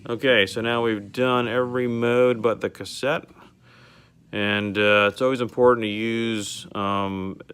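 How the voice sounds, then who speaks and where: A man's voice: mid-range voice, fairly bright tone, clear, resonant tone. A man giving an informal, step-by-step product demonstration to a customer, explaining how a piece of equipment works. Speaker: car radio restorer demonstrating a converted radio.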